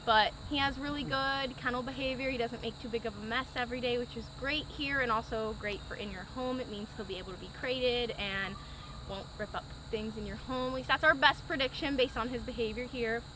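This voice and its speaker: A woman with a high voice talks in a casual, unscripted way, like a shelter worker handling a dog. She is recorded outdoors, with clear background noise around her and her voice sometimes at a distance. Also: steady tone, fairly quick. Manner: informal, talks to the dog in short commands and pet names. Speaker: animal shelter worker presenting an adoptable dog. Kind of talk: casual chat and unscripted pet-adoption presentation.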